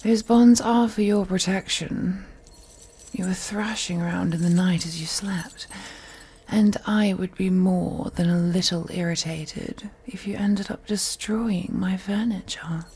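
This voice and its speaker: A woman voicing a wealthy, possessive vampire in an audio roleplay, breathy and often whispering, with a teasing, haughty and condescending manner. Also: mid-range voice, steady tone, measured pace, mellow. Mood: stern, condescending. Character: wealthy, seductive, possessive female vampire toying with captive. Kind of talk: scripted audio roleplay monologue.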